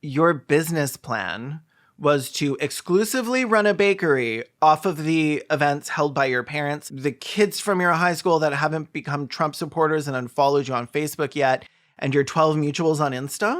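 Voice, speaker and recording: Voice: mid-range voice, moderate pace, fairly bright tone. A sarcastic reaction commentator with a snarky, mocking and exaggerated way of talking. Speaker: video essayist commentator.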